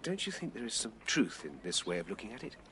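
An earnest, expressive loyal friend. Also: male voice, on the low side, fast, slight background noise. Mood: gentle, tactful. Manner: formal, pleading, tactful. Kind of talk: period radio drama acting.

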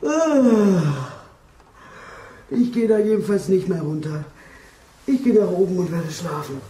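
Wry grumbler, male, lively pitch, slightly high, theatrical muttering, radio drama, roomy. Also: a little slow, far from the mic. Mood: grumbling. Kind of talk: German radio play acting.

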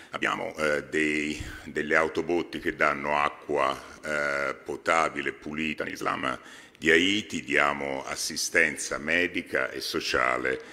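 A man's voice: extremely low pitch, pushed and bright. A man in his fifties, president of a foundation, giving a formal speech at a podium on an exhibition stage, with many hesitations. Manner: halting, frequent hesitations. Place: large exhibition hall stage. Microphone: podium microphone. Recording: reverberant hall.

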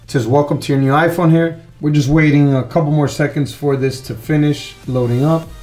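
A man hosting a tech tutorial, explaining the steps in a relaxed, repetitive way, recorded at a desk indoors. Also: fairly high pitch, brisk, soft background music. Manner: relaxed, repetitive walkthrough with "gonna" and "all right". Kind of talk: casual step-by-step tutorial.

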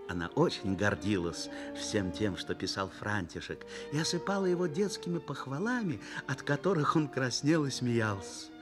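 A man narrating a radio drama in an expressive literary style. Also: mid-range voice, moderate pace, hardly any pauses, close to an everyday voice. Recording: music bed behind narration. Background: music.

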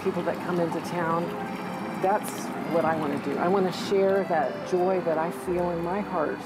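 Middle-aged female business owner for an outdoor feature interview; lower register, clear music underneath. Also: steady tone, moderate pace, poor audio. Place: outdoors at a marina dock.

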